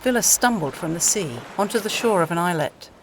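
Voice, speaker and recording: A woman's voice: lowish voice, expressive, brisk, a little hiss or hum, poor audio. A woman reading scripted story narration for an audio play. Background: music.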